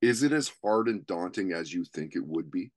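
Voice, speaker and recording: A man's voice: low voice, animated, normal speed. A Canadian man in his forties hosting a podcast, laid-back and swearing freely, with lots of fillers, on a studio mic at home. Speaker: podcast host. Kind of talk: casual interview chat. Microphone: studio mic on a stand.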